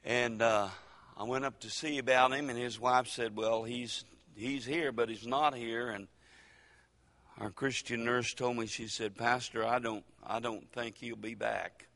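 Older male pastor, folksy sermon delivery, forceful bright tone, long church reverb. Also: mid-range voice, steady tone. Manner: folksy preaching with dramatic pauses and sudden loud rises.

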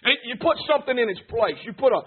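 Husky, high-pitched preacher. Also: male voice, expressive, fast talker. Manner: emphatic, punctuates with "hey" and "amen". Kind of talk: revival sermon. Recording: tinny phone-like sound.

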